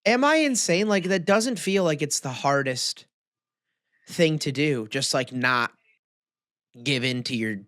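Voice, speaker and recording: A man's voice: on the high side, animated, unhurried, pauses often, a bit bright, no room sound, clean studio sound. An American man from the Midwest chatting casually as a podcast co-host, often questioning and self-deprecating. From Midwestern United States. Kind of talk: casual chat.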